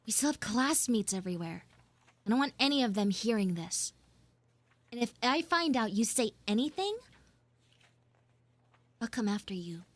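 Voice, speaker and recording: A woman live streaming an audio roleplay as a bossy, secretive tsundere schoolgirl, halting and teasing, slipping into hushed asides. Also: fairly high pitch, expressive, dry recording. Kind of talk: live in-character audio roleplay with chat banter.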